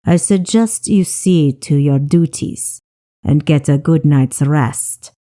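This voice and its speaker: A woman voicing a calm, shrewd male ship captain who gives measured, authoritative orders in an audiobook. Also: sits low, pitched below the performer's own voice. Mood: commanding. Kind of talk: audiobook character voice.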